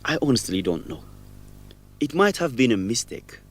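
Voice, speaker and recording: A guilt-ridden young man in a radio drama. His delivery is earnest and halting, with a mid-range pitch that swings widely as he confesses. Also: sing-song, breathy edge. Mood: ashamed confessing. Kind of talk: educational radio drama acting.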